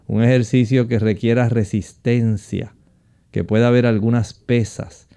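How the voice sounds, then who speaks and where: A man calmly explaining nutrition and exercise on a call-in show, speaking directly to the listener. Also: mid-range voice, smooth. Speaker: health expert guest. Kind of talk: explaining to callers.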